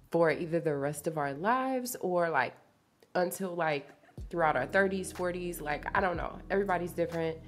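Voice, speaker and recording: Congested, low-pitched young woman podcasting. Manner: informal, confiding, slangy. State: congested from allergies. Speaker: podcast host. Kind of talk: casual chatty solo podcast. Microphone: podcast microphone at a desk.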